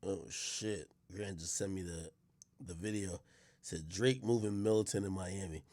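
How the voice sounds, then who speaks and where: A man's voice: low voice, measured pace, mellow, no room sound. A man live-streaming, chatting loosely and profanely straight to his viewers. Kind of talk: casual live-stream chat.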